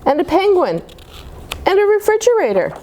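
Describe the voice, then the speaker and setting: A woman voicing a little neighbour girl in a children's storybook, with a drawn-out, singsong way of talking. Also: very high voice, big pitch swings, a little mellow, slight background noise, pitched above the performer's own voice, rough recording. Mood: delighted. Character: little neighbour girl, easily bored, then wonderstruck and giggly.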